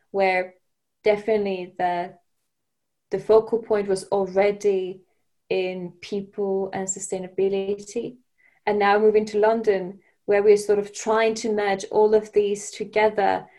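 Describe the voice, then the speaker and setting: A woman's voice: mid-range voice, a little mellow. A woman, a podcast guest speaking thoughtfully, with frequent fillers and restarts. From Finland. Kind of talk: conversational interview.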